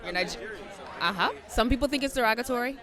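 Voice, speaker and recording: A woman interviewing guests on a red carpet, quick and affirming, with frequent short agreements. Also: a little high, expressive. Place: outdoor red carpet event. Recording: outdoor event with music and crowd.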